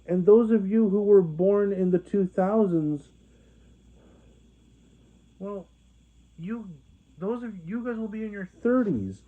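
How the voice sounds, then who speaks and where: A man in his twenties rambling to his viewers in a monologue, halting often with restarts and fillers. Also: high-pitched. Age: 20s. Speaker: vlogger. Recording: phone-like narrow sound.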